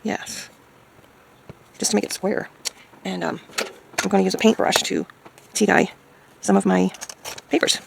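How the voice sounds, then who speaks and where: A woman chatting casually as she crafts, thinking aloud hesitantly in a hoarse, gravelly voice. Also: mid-range voice, fairly even intonation, measured pace, long pauses, noisy background. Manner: hesitant, musing to self. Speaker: craft video maker.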